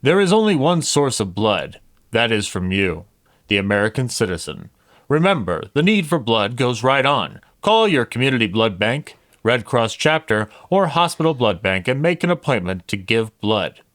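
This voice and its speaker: A man playing an earnest old-time radio announcer, narrating scripted drama in a formal, measured, dramatic way. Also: mid-range voice, sing-song, fairly bright tone. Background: music.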